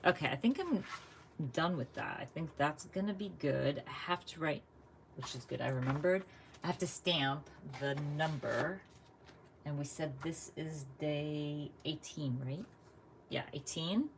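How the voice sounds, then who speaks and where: A woman's voice: low-pitched, measured pace, slight background noise. A woman from the United States chatting casually while she crafts live, thinking aloud and laughing often. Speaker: craft vlogger.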